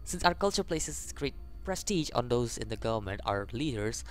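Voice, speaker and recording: Young man reading game text haltingly. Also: mid-range voice, sing-song. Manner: halting reading, stumbles over words. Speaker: gaming YouTuber. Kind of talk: casual let's play, reading game text aloud with commentary.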